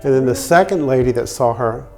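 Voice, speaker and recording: A man being interviewed as an inn owner, telling stories in a halting way with pauses mid-sentence. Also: medium pitch, lively intonation, vocal fry, faint music behind. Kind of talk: interview storytelling.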